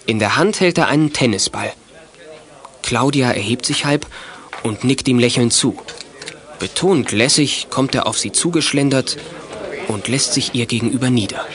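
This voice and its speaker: A man's voice: mid-range voice, normal speed, fairly bright tone, lightly acted. A man narrating a youth radio play in a scripted storytelling style.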